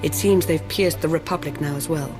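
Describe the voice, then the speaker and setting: A woman playing a composed, formal and self-assured dark lord in a video game. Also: low voice, a little fast, close to an everyday voice. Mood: grave. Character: poised, composed female Sith Lord. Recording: long reverb, faint music. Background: music.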